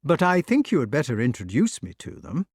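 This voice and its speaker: A man reading an audiobook, voicing a calm, courteous woodsman who speaks pleasantly and reassuringly. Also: on the high side, a little fast, slightly rough, no echo, studio quality, voice pushed up, heavily acted.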